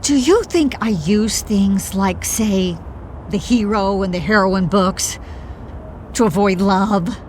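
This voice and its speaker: A woman's voice: mid-range voice, slow pace, close to an everyday voice. A woman playing an anxious, dramatic middle-aged mother in an audio drama, flustered and a little prim. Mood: flustered, defensive. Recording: faint noise behind the voice.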